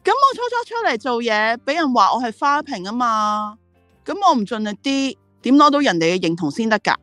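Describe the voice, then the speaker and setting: A woman's voice: fairly high pitch, big pitch swings, brisk, sharp tone, no echo, faint music behind. A driven woman who runs an ad agency in a radio drama. She speaks with a Hong Kong Cantonese accent and very varied intonation, by turns proud and reflective. The recording is dry. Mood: defensive. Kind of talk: radio drama dialogue and monologue.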